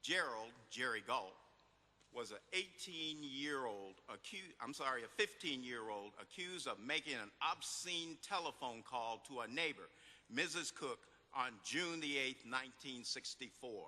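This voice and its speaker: Mature male reader, very bright, sharp tone, formal public delivery, reverberant hall. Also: medium pitch, expressive, unhurried. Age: middle-aged or older. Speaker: reader at a legal commemoration event. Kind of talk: scripted case summary read aloud to an audience. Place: large hall at a public event.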